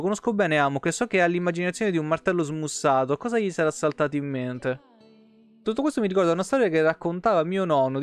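A man, reading game lore aloud and breaking off for informal asides as a live streamer. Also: a little high. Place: indoor home streaming setup. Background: soft plucked guitar game music.

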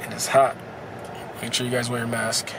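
A man's voice: mid-range voice, monotone, moderate pace. A young man in his twenties chatting casually and with slang as he vlogs from a car and a big warehouse store on a handheld phone. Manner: laid-back, slangy, instructive asides. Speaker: vlogger. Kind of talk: casual vlog chat. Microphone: handheld phone camera at arm's length. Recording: noisy store and car background.

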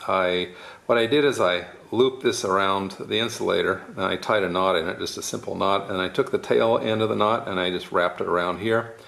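A man's voice: low-pitched, fairly even intonation, brisk, gravelly, a bit bright. A man giving a casual, hands-on tutorial, explaining things informally step by step. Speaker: DIY tutorial presenter.

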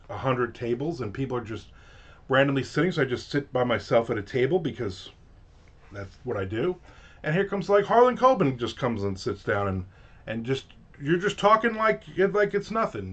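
A man's voice: medium pitch, expressive, normal speed, dull audio. A middle-aged man with a New Jersey accent, chatting casually and rambling on a livestream from his home office. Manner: rambling, chatty, lots of fillers and restarts. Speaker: author hosting a livestream. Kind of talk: casual chat. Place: home office with shelves of collectibles.

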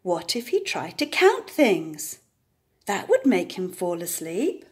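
An older woman reading a storybook aloud to young children, deliberate and expressive, with dramatic emphasis. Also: lowish voice.

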